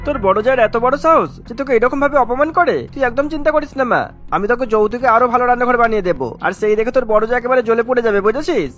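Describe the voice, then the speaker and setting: Doting cartoon dad, protective and emphatic, very rapid, high for a man male performer. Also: fairly bright tone, soft background music. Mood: outraged. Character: doting middle-aged father, protective and boastful. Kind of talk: cartoon storybook dialogue.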